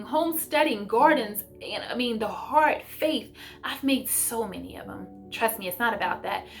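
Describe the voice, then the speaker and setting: Encouraging mom-vlogger lady, kinda high voice, chatty and gushy, music behind her. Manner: effusive, encouraging, direct to viewers. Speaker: homeschooling YouTube host. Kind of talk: casual vlog chat.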